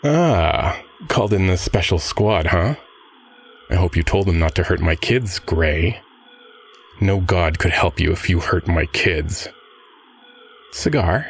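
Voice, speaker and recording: A man playing a swaggering, smug billionaire crime-boss father in an audio drama, with a husky, drawling, mock-affectionate delivery. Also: low-pitched, lively intonation, takes it slowly, pauses often, slight background noise, exaggerated voice. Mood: menacing.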